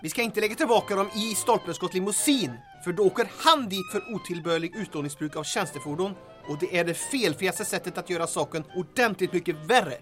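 Droll deadpan sidekick, cracks wise, radio drama, bit of music behind. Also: male voice, sits high. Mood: mock-helpful. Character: wisecracking, dryly absurd henchman sidekick.

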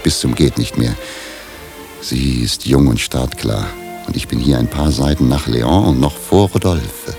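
An actor playing a put-upon middle-aged husband, lovestruck, smug and scheming, in a German radio drama. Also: male voice, rumbling low, gravelly. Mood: self-justifying.

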